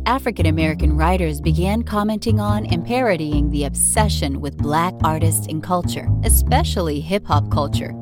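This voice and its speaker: A woman reading scripted podcast narration in a measured, articulate, formal way. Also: fairly low pitch.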